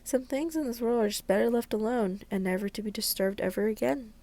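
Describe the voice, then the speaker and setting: A woman's voice: fairly high pitch, fairly quick, mellow. A woman doing a let's play, expressively reading visual novel narration aloud with stumbles and casual asides. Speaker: video creator playing a visual novel. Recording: clearly noisy recording.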